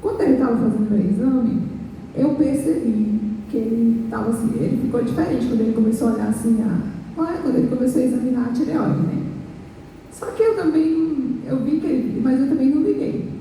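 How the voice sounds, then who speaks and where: Hoarse Brazilian woman testifying in church. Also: fairly high pitch, dark tone. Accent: Brazilian Portuguese. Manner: earnest, halting retelling with restarts. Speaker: pastor and worship minister. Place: large church hall. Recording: echoey church hall, distant mic, faint noise.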